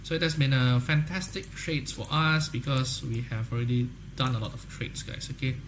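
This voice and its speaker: A young man with an Indonesian accent talks to viewers in a loose, promotional way, mid-pitched voice. He keeps looping back to "guys, okay?" as he goes. A faint hiss sits under the voice. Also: steady tone, moderate pace. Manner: repeats "guys, okay?" often, upbeat salesy talk. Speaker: trading livestream host. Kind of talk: casual livestream chat with viewers.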